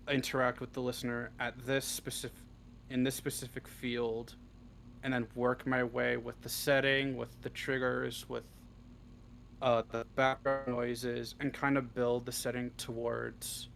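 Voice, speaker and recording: A man's voice: mid-range voice, level tone, measured pace, vocal fry, fairly bright tone, a little hiss or hum. A man giving casual, unscripted answers as an interview guest, talking freely and swearing often. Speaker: interview guest, audio roleplay script writer.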